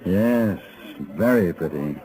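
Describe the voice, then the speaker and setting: An actor playing a suave gentleman gunfighter with a courtly, wry manner in a 1950s radio western. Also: low-pitched, animated, soft background music, poor audio. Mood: playful, romantic. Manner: courtly, wry, measured politeness. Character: suave, gentlemanly male gunfighter of the Old West.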